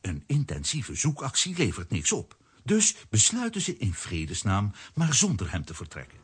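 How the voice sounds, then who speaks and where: A husky narrator telling a children's adventure. The voice is low and very rough, with hugely varied intonation. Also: male voice, wildly swooping pitch, fairly bright tone, exaggerated voice. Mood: storytelling. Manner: measured storytelling. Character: storytelling male narrator of a comic adventure. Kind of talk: scripted children's audio drama narration.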